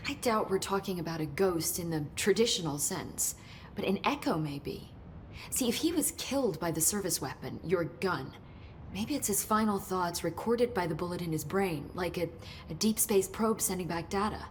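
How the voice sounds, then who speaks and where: A woman's voice: lowish voice, lively intonation, moderate pace, slight background noise, lightly acted. A woman playing an eager, talkative research scientist in a video game, explaining technical details keenly. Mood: thoughtful, curious.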